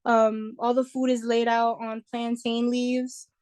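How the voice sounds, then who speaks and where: A woman's voice: a little high, flat delivery, a little slow, a little mellow. A young woman from New York, a singer, talking casually and reflectively as an interview guest.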